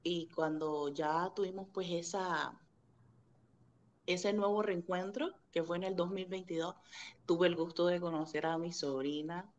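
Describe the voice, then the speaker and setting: A middle-aged woman from Nicaragua telling family stories in a casual interview over a webcam, animated and laughing often. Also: on the low side, measured pace, dull audio, slight background noise. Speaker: guest, interviewer's sister. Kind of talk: casual video-call interview. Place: home room indoors. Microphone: video call webcam.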